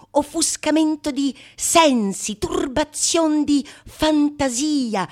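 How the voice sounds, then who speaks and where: A woman actor performing a theatrical monologue in a declamatory manner, with dramatic pauses and relish for long lists of words. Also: fairly high pitch, a little mellow. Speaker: solo stage actress. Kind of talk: theatrical monologue of a Renaissance comedy.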